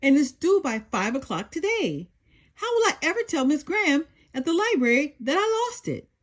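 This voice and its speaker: Anxious, pleading little girl for a children's story, high and animated , played by a woman. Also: moderate pace. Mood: distressed. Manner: fretful, pleading.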